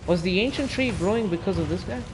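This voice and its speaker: A man's voice: sits high, expressive, fast, noisy background. A teenage male giving casual gameplay commentary, muttering to himself with mild swearing, over game music. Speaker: gaming video host.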